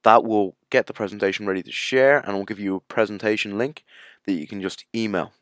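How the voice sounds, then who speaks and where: A man's voice: lowish voice, fairly even intonation, brisk, fairly bright tone, no room sound, clean studio sound. A man narrating a screencast tutorial in a casual, informal way, explaining each step as he goes. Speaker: tutorial presenter.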